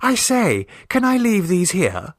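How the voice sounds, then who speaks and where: Man doing posh teen girl, high swoopy voice, breezy and dramatic, mischievous tall tale type, edwardian story. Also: huge pitch swings, slow pace, dry recording, clean studio sound, exaggerated voice. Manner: grand, breezy, impressive. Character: dramatic, self-assured sixteen-year-old Edwardian niece, mischievous tall-tale teller.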